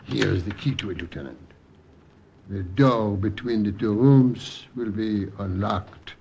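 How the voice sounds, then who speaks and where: An actor playing an old, discreet French hotel clerk in a radio drama, deliberate and formal, with a French accent. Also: male voice, fairly low pitch, a little mellow, a little hiss or hum. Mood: conspiratorial. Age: older. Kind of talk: 1940s-50s radio drama acting.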